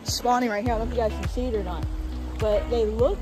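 A woman's voice: on the high side, steady tone, quick pace, over music, poor audio. A young woman vlogging while fishing by a creek, chatting straight to her viewers through a handheld action camera. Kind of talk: casual outdoor vlog talk. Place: outdoors by a creek. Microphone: action camera held at arm's length.

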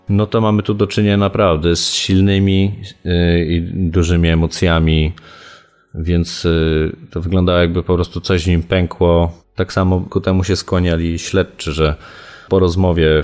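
A man speaking as a journalist, recalling events in a casual, measured and hesitant way. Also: deep voice, darkish tone. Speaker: journalist giving an interview. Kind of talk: casual spoken recollection.

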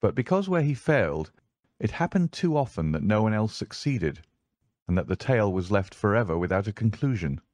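A man reading literary narration as an audiobook narrator, in a measured, formal manner. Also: on the low side, big pitch swings, a little mellow, no room sound.

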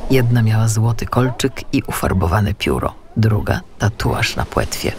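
A man narrating a children's story as an audiobook, with expressive scripted delivery. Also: medium pitch, slight background noise.

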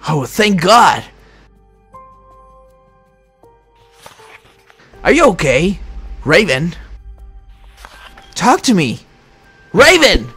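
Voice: mid-range voice, wildly swooping pitch, takes it slowly, bright, forceful tone, breathy edge, soft background music, full character voice. A performer voicing an anxious, paranoid young male superhero leader in a Minecraft roleplay, worried and hesitant. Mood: uneasy.

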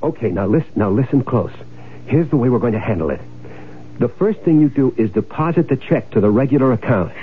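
An actor playing a curt, impatient swindler husband in an old-time radio drama, in dialogue and first-person narration. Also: male voice, mid-range voice, expressive, a little fast, a crowd in the background, lightly acted. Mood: impatient, bossy. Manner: curt, bossy. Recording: muffled vintage radio broadcast with music.

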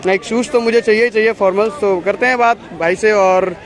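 Young guy vlogging in a busy street market, high voice, crowd noise all around. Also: steady tone, fairly quick. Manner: chatty, peppers talk with "like" and "guys". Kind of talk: casual walking vlog. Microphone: handheld camera.

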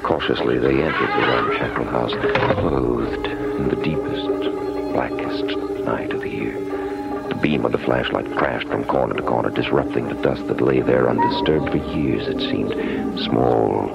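A man playing an ominous, brooding narrator of a 1970s radio horror play, gravelly and portentous in delivery. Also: very deep voice, exaggerated voice. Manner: slow, dramatic, portentous delivery. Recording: dull archive radio recording under loud music.

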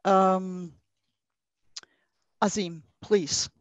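A woman's voice: medium pitch, steady tone, drawn-out words, no room sound. A woman moderating a video-call panel through a headset mic, brief and polite. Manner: brief, polite, light laughs.

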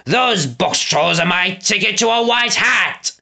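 A reader voicing a pompous, scheming villain boss, a man with a slow, drawn-out, menacing delivery in a storybook reading. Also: mid-range voice, lively intonation, creaky, pushed and bright, voice pushed up, exaggerated voice.

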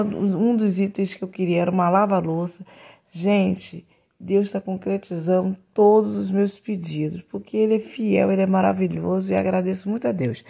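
A woman's voice: mid-range voice, gravelly, darkish tone. A woman talking casually to her viewers while unboxing a dishwasher in her home kitchen, which is under renovation, recorded on a phone camera. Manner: chatty, repeatedly says "gente", talks to viewers while unboxing. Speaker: home vlogger.

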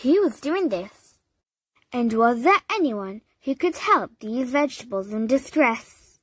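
A woman reading a children's picture book aloud, slow and expressive, leaping up high for the dramatic moments. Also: breathy edge, no room sound, studio quality. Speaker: storybook reader. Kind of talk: children's read-aloud narration.

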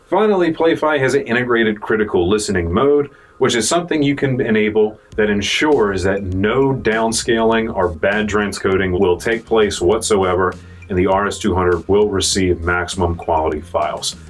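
A man's voice: fairly low pitch, moderate pace, faint music behind. A young American man presenting a product review in an informal, salesy way, addressing viewers as guys. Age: young adult. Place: indoor showroom studio, dark backdrop.

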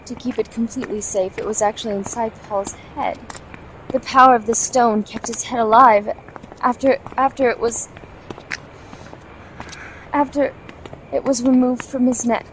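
An actress playing a headstrong, short-tempered mentor in a fantasy audio drama, earnest and insistent. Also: female voice, on the high side, steady tone, moderate pace, pauses often, a little hiss or hum, natural voice, rough recording. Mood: tearful, earnest.

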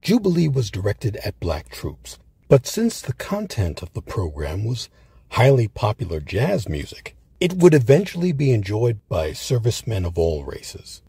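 A man with a husky, gravelly voice reading scripted documentary narration. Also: fairly low pitch, wildly swooping pitch, normal speed, dark tone, no room sound. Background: faint music.

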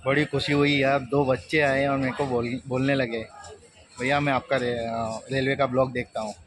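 A man hosts a railway travel vlog in a chatty, informal style, dropping English words into his talk. His voice sits at a middle pitch. Train and vehicle noise runs clearly behind him. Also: little pitch movement, normal speed. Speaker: railway travel vlogger, host. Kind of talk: casual vlog narration of a train journey. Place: on a train and at station stops. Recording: noisy ambience with train and vehicle sound.

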